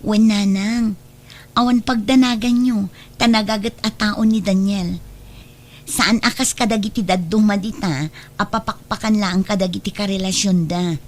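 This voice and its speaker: A woman's voice: mid-range voice, fairly even intonation, moderate pace, close to an everyday voice. A woman playing a trusting, devoted adult daughter in a radio drama, speaking earnestly and reassuringly.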